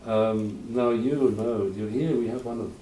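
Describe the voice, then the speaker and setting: Male conference host, mid-pitched, loose and chatty, recorded far off in a reverberant lecture hall. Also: steady tone, measured pace, dark tone, a little hiss or hum. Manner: chatty, rambling, self-correcting with dry asides. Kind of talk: informal conference introduction. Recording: roomy hall, voice from a distance.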